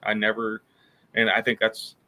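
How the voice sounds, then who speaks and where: A man's voice: fairly low pitch, monotone, moderate pace, pushed and bright. A man co-hosting a casual podcast, a military veteran who talks in an easygoing, rambling way and chuckles often. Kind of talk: casual chat.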